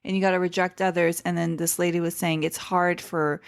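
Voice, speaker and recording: A woman's voice: on the low side, flat delivery, fairly quick, gravelly. A woman in her thirties, born in Iran and raised in Seattle, chatting casually as an interview guest, telling stories with fillers and restarts. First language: Persian. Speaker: stand-up comedian, podcast guest.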